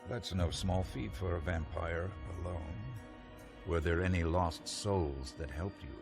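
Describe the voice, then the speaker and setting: An actor playing a stern, zealous priest interrogator in a video game, solemn, deliberate and condescending. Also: male voice, deep voice, gravelly. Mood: condescending, menacing. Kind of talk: video game cutscene acting. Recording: voice under loud dramatic game music.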